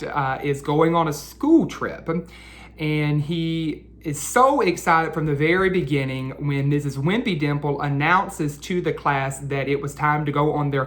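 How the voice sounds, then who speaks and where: Slow-paced man reading aloud to children. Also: a little high, a bit bright. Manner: addresses children directly with questions, drawn-out emphasis. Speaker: read-aloud host for children. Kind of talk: children's picture-book read-aloud and chat.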